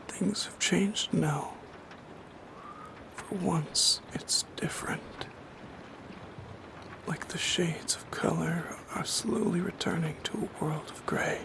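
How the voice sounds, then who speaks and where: Man voicing a slow whispering shadow creature. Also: on the high side. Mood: tender, earnest. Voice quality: breathy whisper with creaky edges. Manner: slow, halting, solemn, poetic delivery. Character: lonely yearning shadow creature, brooding and tender. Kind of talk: soft-spoken whispered ASMR roleplay.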